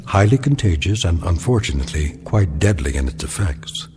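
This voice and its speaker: A man gives a measured, ominous closing narration. His voice is low and dark-toned, and it rises and falls expressively. Faint music plays beneath him. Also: expressive. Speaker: series narrator.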